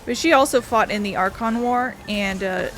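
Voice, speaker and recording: A woman's voice: fairly high pitch, moderate pace, fairly bright tone. A woman giving informal, wry let's-play commentary as a video game streamer. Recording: game music behind the voice.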